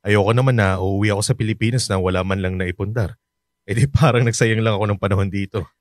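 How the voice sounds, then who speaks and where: A man playing a gentle, earnest working father. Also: on the low side, fairly even intonation, fast, no echo, studio quality, lightly acted. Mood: friendly. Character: humble, hardworking father going abroad. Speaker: overseas worker, father. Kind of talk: radio drama acting.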